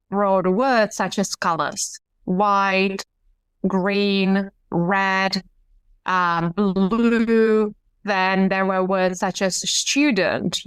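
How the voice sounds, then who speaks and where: Woman, smooth and unhurried. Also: mid-range voice, dry recording. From Czech Republic. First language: Czech. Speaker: podcast guest, hypnotherapist. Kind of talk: conversational storytelling.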